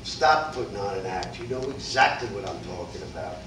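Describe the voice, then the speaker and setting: A man's voice: low-pitched, expressive, fairly quick. A man playing a world-weary boxing manager in a staged reading, speaking bluntly and matter-of-factly as he lectures his fighter. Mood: stern, warning. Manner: blunt, cautionary, matter-of-fact. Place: live staged reading in a roomy indoor space. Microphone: distant video camera. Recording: echoey, noisy room, distant camera microphone.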